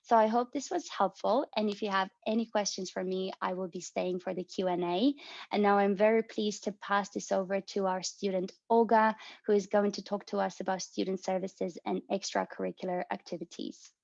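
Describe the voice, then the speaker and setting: Woman presenter, creaky voice, measured delivery full of "um"s, lo-fi dry call sound. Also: medium pitch, dry recording. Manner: steady, with frequent "uh" and "um" fillers. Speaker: corporate relations manager. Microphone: video call. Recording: dull lo-fi dry video call audio.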